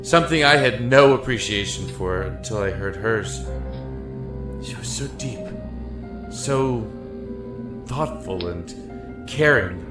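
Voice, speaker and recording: Male actor as despairing prisoner, audio drama monologue, halting, reflective, slow delivery, very expressive pitch. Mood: reflective regret. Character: despairing, regretful man imprisoned in a dark cell. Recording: echoey, with music underneath.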